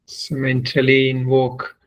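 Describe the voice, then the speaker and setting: A man guides a meditation in the manner of a swami instructing students. His delivery is very slow and deliberate, broken into short phrases with long pauses. The pitch stays at a medium level and moves very little, keeping an even line throughout.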